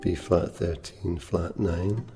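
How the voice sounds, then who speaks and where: A soft-spoken man teaching a guitar lesson, unhurried, pausing often with ums and uhs. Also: low voice, fairly even intonation, creaky. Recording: reverberant room with guitar playing.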